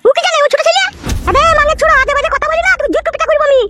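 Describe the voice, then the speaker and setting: A cartoon village wife with an extremely high, shrill voice. She talks very fast, nagging and insisting with a sharp, foul-mouthed tongue. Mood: scolding, angry. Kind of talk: comic cartoon dialogue.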